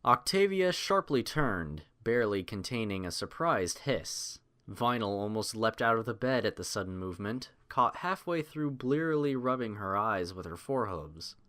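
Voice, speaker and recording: Expressive low-pitched male audiobook narrator. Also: normal speed, few pauses.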